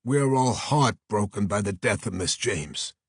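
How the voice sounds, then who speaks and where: A man's voice: medium pitch, normal speed, husky, pitched above the performer's own voice. A man voicing an earnest, effusive New York talent agent with a Brooklyn accent in an audiobook. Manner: effusive, flattering, salesman-like. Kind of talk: audiobook character voice.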